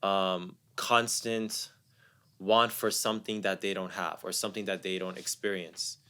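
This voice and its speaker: Young guy from the us, thoughtful, kinda low and really clean voice, restarts a lot. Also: level tone, a little slow, clean tone. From United States. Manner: reflective, soft-spoken, repeats words while thinking. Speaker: guest musician. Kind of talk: casual interview talk.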